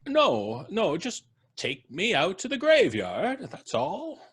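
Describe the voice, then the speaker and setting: Man playing a mild, airy gentleman passenger, pitched up. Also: huge pitch swings, takes it slowly, husky, exaggerated voice. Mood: mild, agreeable. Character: mild, affable, unhurried gentleman cab passenger. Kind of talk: 1940s radio drama acting.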